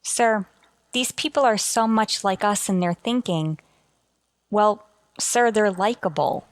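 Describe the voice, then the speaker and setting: Woman acting as sympathetic space crew officer, medium pitch, formal careful phrasing, radio drama. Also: slow pace, no echo, close to an everyday voice. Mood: earnest. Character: earnest, sympathetic female starship crew communicator. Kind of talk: golden age sci-fi radio drama acting.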